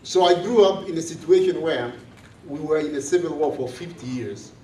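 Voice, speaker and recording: A priest from South Sudan, giving a measured, earnest talk to a student gathering. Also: male voice, on the high side, darkish tone, slight background noise. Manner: slow, measured, earnest speaking. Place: large room on a college campus. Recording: echoey room from far off.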